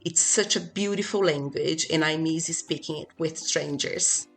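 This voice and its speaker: A woman's voice: low voice, unhurried, creaky, soft background music. A woman from Brazil, a native Portuguese speaker, reading her part as a guest in a scripted podcast conversation.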